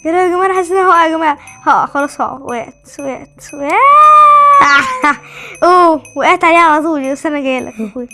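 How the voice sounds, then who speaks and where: A woman with an Egyptian Arabic accent giving playful, excitable gameplay commentary as a video host, often repeating words and phrases. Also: very high voice, racing, loud music behind the voice.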